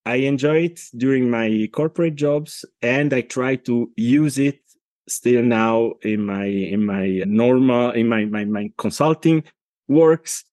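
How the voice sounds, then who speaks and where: A middle-aged man with an Italian accent, a consultant speaking as a podcast guest, talking in a halting way with many restarts. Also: medium pitch, darkish tone, no room sound, clean studio sound. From Italy. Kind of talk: casual interview talk.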